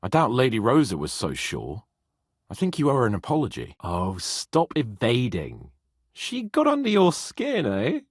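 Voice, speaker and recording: A cocky young medieval lord for a video game, proud and quick to mock. His pitch swings hugely from phrase to phrase, and the voice has a slightly husky edge. It sounds close and dry. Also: dry recording. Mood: teasing. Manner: cocky, sardonic, swearing, expressive. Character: brash, teasing young medieval nobleman, proud and hot-headed. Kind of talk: video game voice acting, dramatic dialogue.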